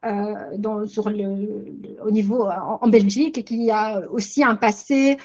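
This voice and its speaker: A woman's voice: medium pitch, fairly even intonation, a little slow, darkish tone, muffled recording. An older woman hosting a webinar, recapping and reframing her guest's points in a thoughtful way over a video call. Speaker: webinar host. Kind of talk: webinar discussion, summarizing.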